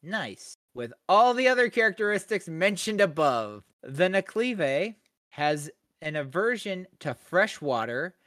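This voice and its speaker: A man's voice: high-pitched, unhurried. A man co-hosting a podcast, with a voice that swings up and down a lot as he reads an excerpt and jokes around. The sound is dry, close and without room echo. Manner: jokey, animated reading with lively asides. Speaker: podcast co-host. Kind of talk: casual podcast chat, reading an excerpt aloud.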